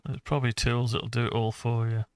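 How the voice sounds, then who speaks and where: A man thinking aloud and muttering to himself through an unscripted software walkthrough. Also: medium pitch, flat delivery, brisk, smooth, dry recording, studio quality. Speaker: hobbyist tutorial maker.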